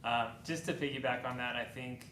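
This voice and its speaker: A man with a mid-range voice speaking thoughtfully and a little haltingly as a panelist. The recording has a roomy echo and steady noise behind him. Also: medium pitch. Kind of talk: panel discussion. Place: event hall. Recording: echoey hall, noisy.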